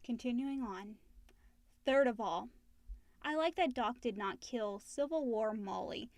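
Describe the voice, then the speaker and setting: A woman's voice: a little high, lively intonation, a little slow, no echo. A woman co-hosting a casual question-and-answer show, reading listener questions aloud and chatting about them.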